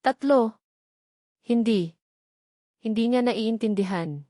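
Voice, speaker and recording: A woman's voice: a little high, takes it slowly, warm tone, no room sound, studio quality. A woman voicing a betrayed, anxious but resolute pregnant wife in an emotional storybook drama. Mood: anxious shock. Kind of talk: dramatic audio storybook dialogue.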